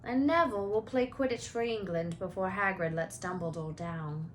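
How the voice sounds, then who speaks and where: A woman voicing an easygoing, reassuring schoolboy best friend from a storybook, with drawn-out, emphatic phrasing. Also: mid-range voice, slight background noise, close to an everyday voice.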